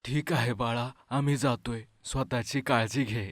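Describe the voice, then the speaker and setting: A performer voicing a loving older farmer father in an animated story, speaking gently and with affection. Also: medium pitch, a little slow, dry recording, studio quality, lightly acted. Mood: tender.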